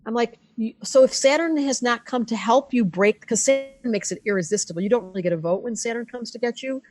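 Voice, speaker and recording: An older woman astrologer talking bluntly in a casual conversation, animated and acting out the voices of the people she quotes. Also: fairly high pitch, a little fast. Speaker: astrologer guest.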